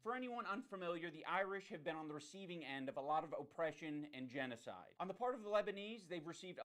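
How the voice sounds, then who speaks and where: A man's voice: a little high, lively intonation, fast, a bit bright. A man co-hosting a podcast, speaking in a pointed, sarcastic way. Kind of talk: podcast chat.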